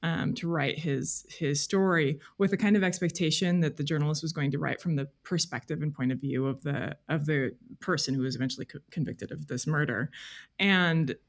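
A woman speaking as an interview guest, explaining things conversationally in a halting, careful way. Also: low voice. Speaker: guest, freelance journalist. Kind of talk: thoughtful conversational interview answers.

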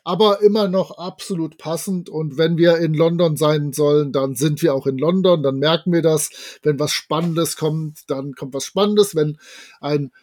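A man's voice: a little high, fairly even intonation, moderate pace, darkish tone. A man co-hosting a podcast, giving his opinions in a casual, conversational review style. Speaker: podcast co-host.

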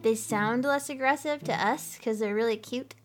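A woman's voice: fairly high pitch, moderate pace. A woman co-hosting a podcast, chatting casually and giggling often, with frequent restarts. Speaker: podcast co-host. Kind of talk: casual chat.

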